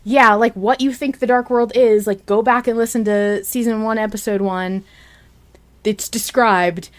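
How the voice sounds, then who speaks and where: A woman with a fairly high voice hosts a fan podcast. She talks in an excited, emphatic way, often halting and starting again as she gushes. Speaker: podcast host.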